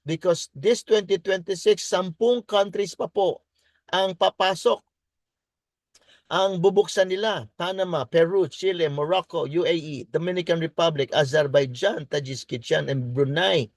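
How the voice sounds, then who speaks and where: A Filipino man, a native Tagalog speaker, presents in a deliberate preaching style, repeating key words and switching between English and Tagalog. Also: high-pitched, dry recording. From Philippines. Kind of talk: faith-based business presentation, preaching.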